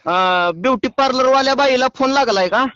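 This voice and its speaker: A man's voice: very high-pitched, normal speed, bright, forceful tone, slight background noise. A man with a rural Marathi accent making a prank phone call, pleading and persistent in a rustic way. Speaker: prank caller and show host. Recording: dull lo-fi phone call audio.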